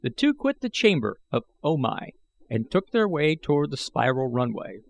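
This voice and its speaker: A man's voice: mid-range voice, big pitch swings, normal speed, creaky, slight background noise. A man reading a scripted, dramatic narration as an audiobook narrator.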